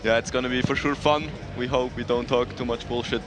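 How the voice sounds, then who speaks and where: Casual male climbing commentator, even pitch, music behind. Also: a little fast, poor audio. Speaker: event commentator and interviewer. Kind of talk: casual live sports commentary.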